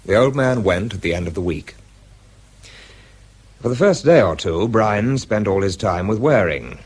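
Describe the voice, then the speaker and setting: An actor with a British accent playing a bitter, self-pitying research scientist in a radio drama, dry and clipped. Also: male voice, on the low side, lively intonation, unhurried, breathy edge. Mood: dry, reflective. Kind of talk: British radio drama acting and first-person narration.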